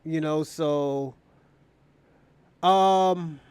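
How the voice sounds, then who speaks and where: A man's voice: a little high, drawn-out words, a little mellow, clean tone, no echo. A man hosting a casual livestream, talking off the cuff in an opinionated, rambling way and repeating phrases. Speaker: live stream show host.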